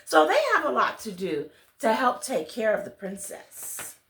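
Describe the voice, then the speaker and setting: Lady doing a kids read-along, slow and super lively, asks the little ones questions, bit echoey. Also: fairly high pitch, creaky. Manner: addresses children directly with questions and exclamations. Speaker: children's read-along storyteller, host. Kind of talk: storybook reading with chatty asides to young listeners. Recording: roomy, echoey sound.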